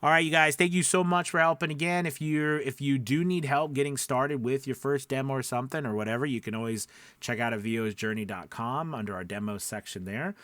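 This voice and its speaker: A man's voice: medium pitch, fairly quick, a bit bright, no room sound. Man coaching in a dry, close recording. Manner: conversational, emphatic, repeats points and checks in. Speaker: voice-over coach and video host. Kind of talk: informal instructional talk to viewers.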